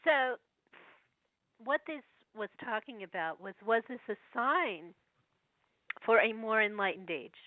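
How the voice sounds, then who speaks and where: A woman with a cold, her voice going, speaking as a guest over the phone and reading old verses slowly between chatty asides. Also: a little high, expressive, dry recording. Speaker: guest researcher. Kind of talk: phone interview, reading prophecy verses aloud. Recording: tinny phone line.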